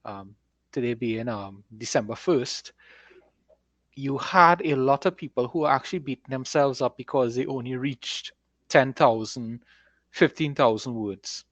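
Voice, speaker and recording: A man's voice: mid-range voice, measured pace, fairly bright tone, no room sound. A man co-hosting a casual podcast, explaining things in an encouraging way. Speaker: podcast co-host and author.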